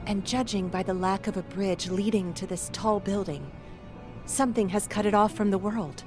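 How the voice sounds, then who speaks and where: A woman's voice: medium pitch, moderate pace, music in the background, natural voice. An actress playing an earnest, determined female adventurer who guides her allies in a video game. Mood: analytical. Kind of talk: video game cutscene acting.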